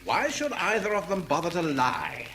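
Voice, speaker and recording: An excitable English gentleman from a vintage radio drama. His voice is bright and swoops widely, with genteel British exclamations over faint hiss. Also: fairly high pitch, normal speed, full character voice. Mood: puzzled.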